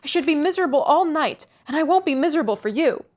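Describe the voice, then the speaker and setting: A woman voicing a willful, peevish young woman who snaps and shouts in a rage. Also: expressive, quick pace. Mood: imperious. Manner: shrill, imperious outbursts.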